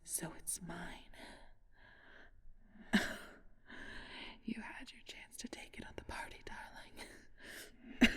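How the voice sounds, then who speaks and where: A woman playing an ancient, flirtatious and haughty vampire thief in an ASMR audio roleplay, weakened by a wound and speaking in a breathy, husky whisper. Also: fairly bright tone. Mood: flirtatious, teasing. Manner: slow, teasing, haughty, with theatrical pauses.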